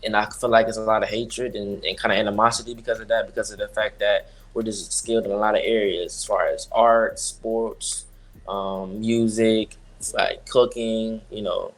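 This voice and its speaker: A nineteen-year-old man answers interview questions earnestly, leaning on fillers as he thinks. His voice is low and quite level. He keeps a relaxed, conversational delivery. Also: moderate pace. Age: young adult. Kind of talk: casual interview.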